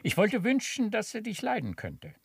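A man's voice: high voice, wildly swooping pitch, moderate pace, gravelly, breathy edge, dry recording, studio quality, heavily acted. An actor playing an effusive, courtly baron and bossy, doting father in a radio play, with formal, exclamatory speech. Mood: brisk, coaxing.

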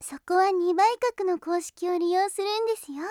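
A woman's voice: extremely high pitch, normal speed, darkish tone, no echo, studio quality. A woman playing a kind, studious, polite teenage schoolgirl in an anime audio drama. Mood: helpful, gentle.

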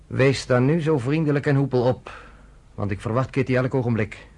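A man plays a blunt American reporter in a radio drama. His voice is slightly husky and his delivery is sardonic and cutting, as if he is lecturing someone he does not respect. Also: mid-range voice, normal speed, a little mellow, lightly acted. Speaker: journalist.

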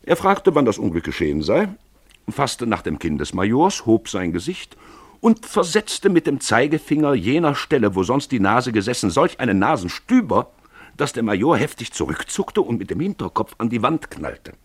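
A man's voice: medium pitch, big pitch swings, normal speed, slightly rough, no echo. A man narrating a literary radio drama from a script, with a dramatic storytelling manner.

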